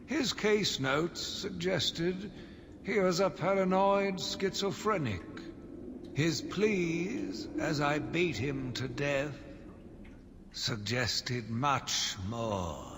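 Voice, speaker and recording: An actor playing a sinister, self-righteous madman, delivering a theatrical, deliberate villain's monologue for a video game. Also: fairly high pitch, a bit bright, exaggerated voice. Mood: grim, fervent. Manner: theatrical, deliberate, brooding delivery with dramatic emphasis. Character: sinister, zealous, self-righteous madman obsessed with punishing monsters. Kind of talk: dramatic scripted monologue, audio journal from a video game. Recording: long reverb, clearly noisy background ambience.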